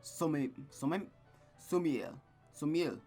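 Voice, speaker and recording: A gaming host reading a visual novel aloud with casual, rambling, expressive commentary. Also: medium pitch, takes it slowly, faint music behind. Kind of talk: casual game commentary and read-aloud narration.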